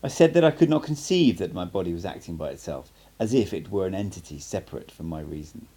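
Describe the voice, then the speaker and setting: Male amateur audiobook reader, low register, wide melodic swings, expressive scripted narration. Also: fast talker, breathy edge. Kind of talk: scripted narration, reading a book aloud.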